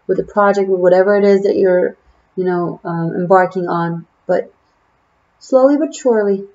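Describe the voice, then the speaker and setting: Casual tarot reader, a woman with an Israeli accent and a slightly low voice, rambling through fillers on a muffled home recording. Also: darkish tone. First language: Hebrew. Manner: chatty and musing, trailing off with fillers. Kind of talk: casual tarot reading to camera.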